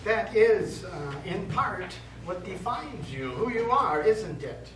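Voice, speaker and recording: Actor as slow, patient priest, echoey stage. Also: male voice, high-pitched, sing-song, exaggerated voice. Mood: probing, patient. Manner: measured, halting, counselling. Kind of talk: stage play acting. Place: small theatre stage, recorded from afar. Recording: echoey stage, distant mic, clear noise.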